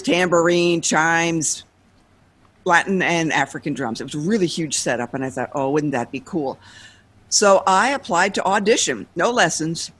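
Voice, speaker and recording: A woman being interviewed as a guest, telling her story in a lively way and laughing between thoughts. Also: fairly low pitch. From United States.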